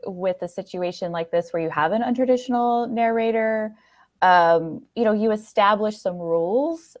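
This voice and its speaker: A woman's voice: medium pitch, moderate pace, darkish tone, dry recording, studio quality. A woman hosting a podcast, chatting casually in an enthusiastic, affirming way as she interviews a guest. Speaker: podcast host.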